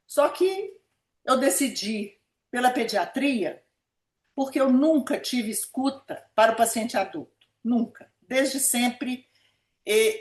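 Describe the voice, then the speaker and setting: A woman of about seventy with a Brazilian Minas Gerais accent, recalling her life in a conversational interview and repeating words for emphasis. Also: fairly high pitch. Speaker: interviewee, pediatric nephrologist. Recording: echoey room sound.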